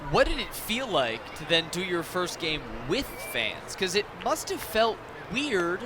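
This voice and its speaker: A man's voice: high-pitched, big pitch swings, unhurried. A young American man doing live baseball play-by-play and chatty interviewing as a broadcaster. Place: TV broadcast booth at an outdoor ballpark. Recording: noisy outdoor ballpark, crowd behind. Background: crowd and stadium ambience.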